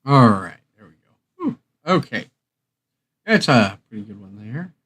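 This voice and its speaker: A man's voice: medium pitch, sing-song, a little slow, fairly bright tone, slightly rough. A man hosting a casual livestream, rambling and thinking aloud as he works through what to play next. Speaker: livestream host.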